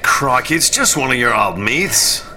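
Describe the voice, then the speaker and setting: An actor playing a plain-spoken, excitable Australian mining engineer in a radio drama, blunt and full of slang. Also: male voice, mid-range voice, measured pace, a little hiss or hum, exaggerated voice. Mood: startled, baffled.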